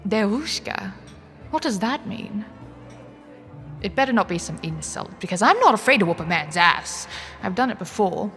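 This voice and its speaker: A woman's voice: medium pitch, moderate pace. Sardonic, flustered young woman an actress plays in reverb. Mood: feisty, incredulous. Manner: wry, expressive, with sighing interjections. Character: young cash-strapped woman, sardonic, wary, inwardly flustered. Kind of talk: audio drama dialogue with inner monologue. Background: music.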